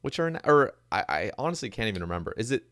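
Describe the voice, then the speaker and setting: A man's voice: fairly low pitch, sing-song, racing, fairly bright tone, no echo, studio quality. A young man hosting a podcast, chatting casually and reading out listener questions. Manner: easygoing, reads listener questions. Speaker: podcast host.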